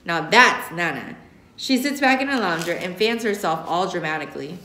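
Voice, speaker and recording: A woman's voice: fairly low pitch, lively intonation, normal speed, sharp tone, slight background noise. An American woman reads a novel aloud expressively, recorded in a roomy classroom. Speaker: reader, teacher. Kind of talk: expressive read-aloud of a young adult novel. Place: indoor classroom. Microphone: camera a short distance away.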